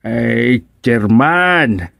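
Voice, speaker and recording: Village visitor, Ilocano accent, extremely slow delivery, hesitant, concerned, dry recording, radio drama. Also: male voice, medium pitch, animated, creaky, fairly bright tone, dry recording, heavily acted. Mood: lamenting.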